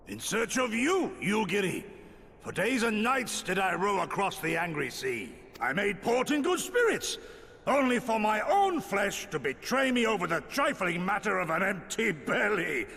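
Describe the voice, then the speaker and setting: Big hearty game warrior, booming n bright, swoops around, declaims all old-timey in a big echoey hall. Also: male voice, sing-song, normal speed, vocal fry, slightly rough, exaggerated voice. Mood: proud, hearty. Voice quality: booming, very loud voice. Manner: archaic, grandiloquent, declaiming. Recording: long hall reverb, ambient noise.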